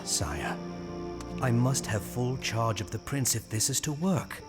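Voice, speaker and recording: An actor playing a loyal knight and royal counsellor in an audio drama, speaking formally and persuasively. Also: male voice, mid-range voice, expressive, a little slow, over loud music, natural voice. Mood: earnest. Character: loyal, earnest, firm knight counselling his king.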